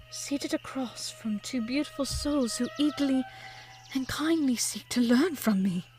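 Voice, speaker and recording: Woman playing devoted old widow, higher pitched, formal wistful period drama storytelling, bit of music behind. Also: darkish tone. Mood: tender reverence. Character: grieving widow of a famed composer, older, devoted. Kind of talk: period audio drama narration and dialogue.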